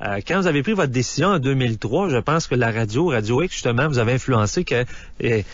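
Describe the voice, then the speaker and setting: A man's voice: medium pitch, lively intonation, fast talker. A man with a Quebec French accent hosting a radio show, interviewing a caller in a casual, curious way with many fillers. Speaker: radio host. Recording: dull lo-fi radio broadcast.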